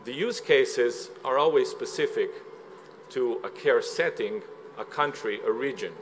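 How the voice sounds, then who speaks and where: A man from Slovenia presents at a conference in a mid-range voice, explaining each point steadily and pausing on filler sounds. Faint room ambience sits behind him. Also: medium pitch, a little hiss or hum. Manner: measured, explanatory, with frequent "uh" fillers. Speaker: conference speaker. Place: conference hall.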